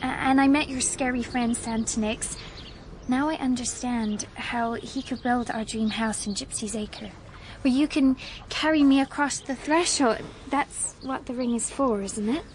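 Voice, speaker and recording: A high, youthful woman's voice, an actress playing a devoted young heiress in a radio drama. She is sweet and earnest, eager to be believed. Faint ambience sits behind her. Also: fairly even intonation, measured pace, slight background noise, natural voice. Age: young adult. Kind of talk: radio play acting.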